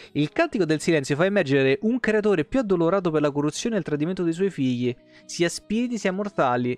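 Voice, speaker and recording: A man, reading game lore aloud expressively with casual asides as a streamer. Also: on the high side. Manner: expressive reading, breaking off for informal comments. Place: small indoor room, streaming setup. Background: plucked guitar music.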